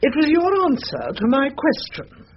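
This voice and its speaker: A stern older English wife in a radio play, high-voiced and swooping in pitch. She sounds imperious and reproachful. The old recording is slightly noisy and muffled. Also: huge pitch swings, measured pace, exaggerated voice. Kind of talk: British radio drama acting.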